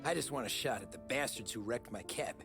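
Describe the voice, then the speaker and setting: An actor playing an earnest, deferential young cab driver with an American accent and a restrained manner in a video game. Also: male voice, medium pitch, a little fast, music in the background, natural voice. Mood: earnest, determined. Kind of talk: video game cutscene acting.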